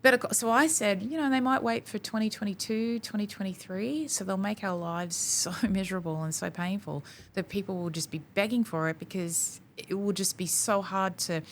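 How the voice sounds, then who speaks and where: An Australian woman in her forties talking in a casual podcast conversation, animated and acting out her own quotes. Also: medium pitch, slight background noise. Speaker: podcast guest.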